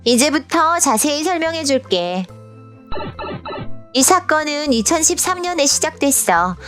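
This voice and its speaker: A woman voicing a patient young storybook princess who explains step by step in casual, scripted speech for an animated explainer. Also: high voice. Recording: music bed behind the voice.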